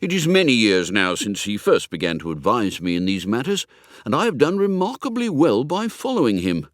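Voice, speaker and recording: A man's voice: mid-range voice, wildly swooping pitch, a little fast, no echo, clean studio sound. Man playing a dignified English butler, richly inflected. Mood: measured, confiding. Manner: formal, ponderous, deferential. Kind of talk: comic audiobook character acting.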